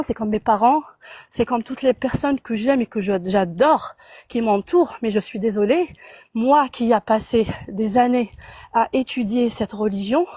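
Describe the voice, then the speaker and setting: Hesitant woman, slightly high voice. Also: mellow, slightly rough, dry recording. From Algeria. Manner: hesitant, many fillers and restarts. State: out of breath at first. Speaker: guest on a live stream. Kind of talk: live call-in discussion.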